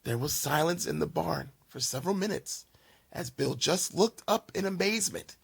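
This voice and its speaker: A man reads a children's story as its host, with very lively, swooping intonation. His fairly high voice has a gravelly edge and a dramatic, expressive delivery. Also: normal speed. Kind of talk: animated storybook narration.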